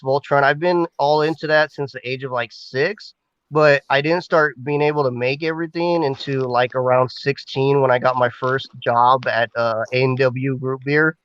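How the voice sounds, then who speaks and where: A man's voice: medium pitch, steady tone, a little slow, a bit bright, clean tone, no room sound, muffled recording. A man from Northern California, a guest telling stories in a casual chat, rambling with many "like"s and restarts.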